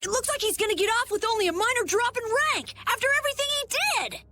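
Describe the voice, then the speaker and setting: Squeaky, excitable kid. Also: female voice, quick pace, exaggerated voice. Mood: alarmed, indignant. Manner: urgent, exclaiming. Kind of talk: video game voice acting.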